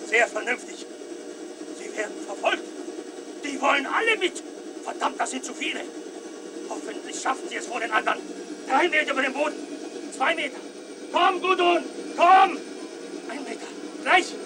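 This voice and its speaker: Helicopter pilot, radio play, urgent, clipped, extremely high-pitched, loud engine noise. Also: male voice. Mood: tense. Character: frantic male helicopter pilot losing control. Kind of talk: radio drama acting.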